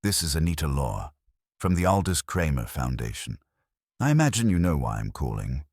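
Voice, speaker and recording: A man's voice: extremely low pitch, sing-song, normal speed, darkish tone, no room sound, full character voice. A man voicing a dry, precise, vaguely amused female board chair in an audiobook, clipped and official. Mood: dry, guarded.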